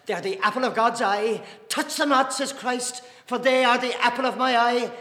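A man's voice: extremely high pitch, steady tone, normal speed, bright, forceful tone, voice pushed up. A man voicing a devout, furious older Irish woman in a storybook reading, ranting shrilly and righteously in defence of priests. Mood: indignant, righteous. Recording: echoey hall reverb.

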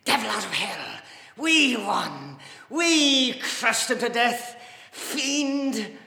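A man's voice: extremely high pitch, lively intonation, drawn-out words, gravelly, sharp tone, voice pushed up, full character voice. A man voicing a devout, furious older Irish woman in a storybook reading, ranting shrilly and righteously in defence of priests. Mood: screaming rage. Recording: echoey hall reverb.

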